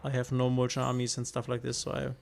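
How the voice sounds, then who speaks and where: German streamer, male, flat gravelly delivery, faint music underneath, musing over plays. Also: medium pitch, fast, slight background noise. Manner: thinks aloud, hesitant, reacts to chat. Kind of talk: casual gameplay commentary.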